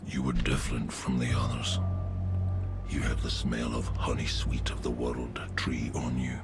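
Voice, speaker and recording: An actor playing an old, weary, grim werewolf in a video game, with a gravelly breathy voice and slow, ominous, archaic phrasing. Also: male voice, a bass voice, monotone, music in the background, full character voice. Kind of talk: video game dramatic monologue.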